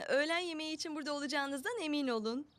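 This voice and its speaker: A woman voicing a cheerful, attentive young mother in a children's cartoon dub, with a motherly, gently teasing manner. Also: high-pitched, fast, dry recording. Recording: music behind the voice.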